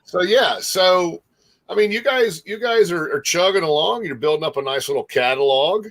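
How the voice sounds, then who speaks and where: A man's voice: sits high, animated, normal speed, fairly bright tone. A man hosting a casual music interview, talking in an informal, rambling, encouraging way. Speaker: talk show host interviewing a musician.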